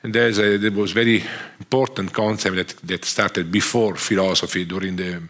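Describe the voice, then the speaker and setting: A man with a strong Italian accent gives a lecture from a theatre stage, speaking into a headset mic. His voice is low but bright and forceful in tone. He pauses on fillers and restarts his phrases often. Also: steady tone. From Italy. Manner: halting, many fillers and restarts. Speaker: guest lecturer. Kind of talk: academic lecture.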